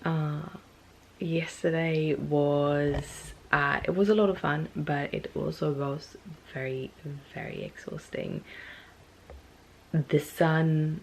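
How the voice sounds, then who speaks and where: Stuffy low-voiced woman vlogging. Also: fairly even intonation, slow pace, creaky, slight background noise, low-quality recording. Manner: rambling, with many ums and restarts. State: stuffy and losing her voice. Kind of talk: casual talking-to-camera vlog.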